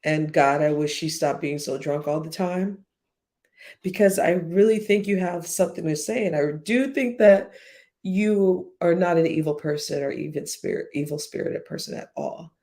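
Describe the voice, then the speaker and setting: Blunt, chatty woman with a gravelly voice. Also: low-pitched, normal speed, dark tone. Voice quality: whiny-sounding voice. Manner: blunt, profane, chatty, addresses chat directly. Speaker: YouTube live streamer. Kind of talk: casual commentary livestream.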